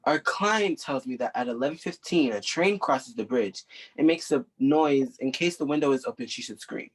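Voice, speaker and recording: An actor playing a cold, businesslike criminal go-between in a 1940s radio drama, giving measured instructions. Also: male voice, medium pitch, animated, breathy edge. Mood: coldly businesslike.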